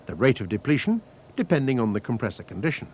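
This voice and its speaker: A man reading scripted technical instructions as a training film narrator, in a measured, methodical, formal manner. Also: medium pitch, big pitch swings, darkish tone. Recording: faint noise, archival training film soundtrack.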